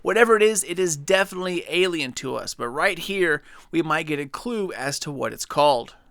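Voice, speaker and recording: A man's voice: on the high side, expressive, a little fast, fairly bright tone, a little hiss or hum. Upbeat young man breaking down a trailer. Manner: enthusiastic, chatty, speculative. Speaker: gaming channel host. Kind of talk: casual video commentary.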